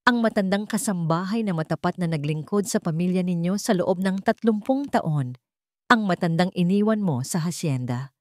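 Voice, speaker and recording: A woman's voice: on the low side, animated, moderate pace, a little mellow, no echo, natural voice. A woman voicing a cold, haughty, venomous older rich villainess in a narrated story, taunting and menacing.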